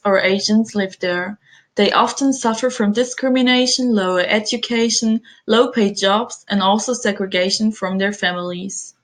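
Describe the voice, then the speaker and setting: A woman narrating a scripted school presentation, reading plainly from her script. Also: mid-range voice. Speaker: student presenter.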